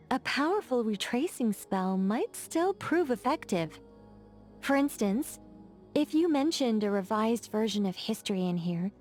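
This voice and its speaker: A woman's voice: mid-range voice, a little mellow, lightly acted. An actress voicing a poised, playful female mage in a game, talking in a wry, teasing, chatty way. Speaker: game character. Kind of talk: game voice lines.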